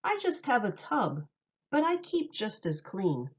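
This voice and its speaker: A woman reading a rhyming picture book aloud in an expressive, sing-song way meant for young children. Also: mid-range voice, measured pace, highs cut off.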